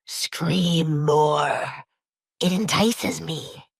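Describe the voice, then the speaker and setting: A woman voicing a sinister, mocking henchman in an audiobook, with a gravelly, husky drawl. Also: low-pitched, fairly even intonation, drawn-out words, no echo, full character voice. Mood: sinister relish. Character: menacing, taunting male henchman with a dagger.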